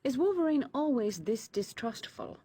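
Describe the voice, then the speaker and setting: An actress playing a composed, serious heroine in a video game, measured and articulate. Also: female voice, sits high, expressive, warm tone, slightly rough, no echo. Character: composed, serious woman hero discussing strategy.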